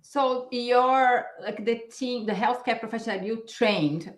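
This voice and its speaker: A middle-aged woman interviewing a guest over a video call, her questions halting and restarted. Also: on the high side. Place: room at home. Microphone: video call webcam. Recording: echoey room.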